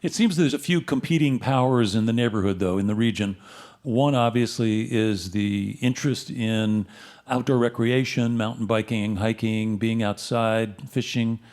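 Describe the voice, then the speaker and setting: A man's voice: fairly low pitch, normal speed, a little mellow. A man hosting a live radio show on stage, introducing and interviewing guests in a conversational way. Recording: live stage with audience crowd.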